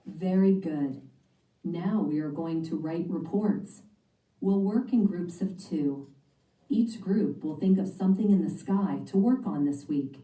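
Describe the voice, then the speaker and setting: A woman reading aloud the lines of a kind schoolteacher giving a class clear instructions. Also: on the low side, measured pace, dark tone, close to an everyday voice. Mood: instructing. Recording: roomy and distant.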